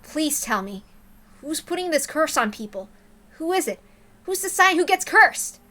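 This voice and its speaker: An earnest, blunt teenage boy, played by a woman in a visual novel reading. The voice is fairly high and bright, pressing and direct in manner. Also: sing-song, normal speed, full character voice. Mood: urgent. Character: earnest, blunt teenage schoolboy narrator-hero.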